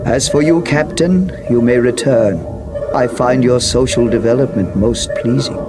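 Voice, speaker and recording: An actor playing an ancient, stern and calm alien machine intelligence in a video game, speaking in formal, measured proclamations. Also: male voice, medium pitch, lightly acted. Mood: calm, superior. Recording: loud music under the voice.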